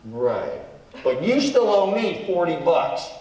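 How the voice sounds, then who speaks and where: A man's voice: a little high, wildly swooping pitch, takes it slowly, a little mellow, heavily acted. A man playing a befuddled, exasperated straight man in vintage comic banter, with bewildered, protesting retorts. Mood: confused. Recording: roomy, distant microphone, faint noise.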